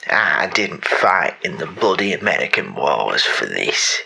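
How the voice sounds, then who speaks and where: Guy doing old british army grandad, eighty, slow n husky, grumbling comedy bit, gravelly. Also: low voice, very animated, sharp tone, a little hiss or hum, voice pushed down, full character voice. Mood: weary, disgusted. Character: weary eighty-year-old male war veteran, British, disgusted.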